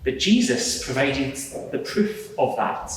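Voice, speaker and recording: In a big reverberant hall, heard from a distance, a man plays an earnest converted Pharisee on the witness stand. He explains his change of heart in a halting, sincere way, at a middling pitch. It is live courtroom drama with faint noise. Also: animated. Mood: earnest, reflective. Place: large reverberant courtroom before a live gathering.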